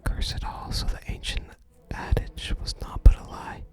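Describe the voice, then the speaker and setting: A person voicing a haughty, grasping villain from a fantasy game in a pompous, archaic, breathy ASMR whisper. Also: moderate pace, lightly acted. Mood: scheming, frustrated.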